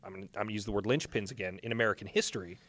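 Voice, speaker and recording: A man's voice: fairly low pitch, rapid-fire, fairly bright tone, dry recording. A man hosting a podcast, conducting a casual live interview in an informal, joking way.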